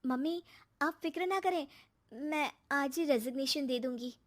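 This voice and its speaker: Woman as high-voiced, expressive young daughter-in-law. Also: moderate pace, natural voice. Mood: dutiful. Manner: expressive, exclaiming. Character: young daughter-in-law, dutiful, cheeky, easily astonished. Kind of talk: cartoon story acting.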